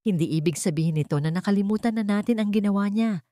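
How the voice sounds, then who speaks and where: A woman's voice: medium pitch, fast, mellow, no room sound, voice pushed up, close to an everyday voice. A woman narrator plays a steadfast, forgiving Filipino mother in a radio drama. She speaks earnestly and directly, with firm tenderness, in a close, dry recording. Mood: gentle conviction.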